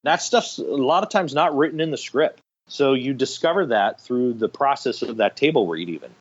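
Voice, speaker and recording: Male podcast guest, mid-pitched, explanatory and anecdotal, slightly dull lo-fi sound. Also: lively intonation, normal speed. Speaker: podcast guest, radio theatre director. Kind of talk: conversational interview answers.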